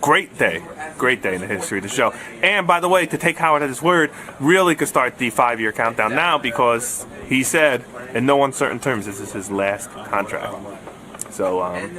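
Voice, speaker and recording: A man giving casual, joking interview answers in a halting way. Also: mid-range voice, lively intonation. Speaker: show staff member being interviewed. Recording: noisy room with crowd chatter.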